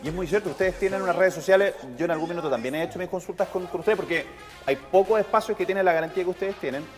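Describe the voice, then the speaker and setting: Chilean guy hosting morning tv, talks quick and a bit high, reverby studio with music. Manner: upbeat, chatty, salesy. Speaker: TV morning show host. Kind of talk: lively studio chat. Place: TV studio kitchen set.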